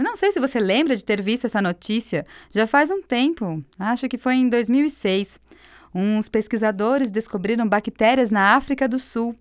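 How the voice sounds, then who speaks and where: A woman's voice: on the high side, dry recording, studio quality, close to an everyday voice. A woman with a Brazilian accent playing a patient, friendly astronomer who explains things in a scripted radio drama. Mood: explanatory. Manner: explanatory, didactic. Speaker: actor in an educational radio drama.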